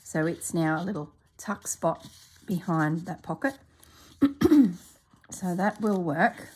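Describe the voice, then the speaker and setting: A woman narrating her crafting work in a soft, relaxed voice, sometimes dropping to a whisper, congested and clearing her throat. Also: on the low side, slow pace. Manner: soft-spoken, often drops to a whisper while working. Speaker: craft video maker.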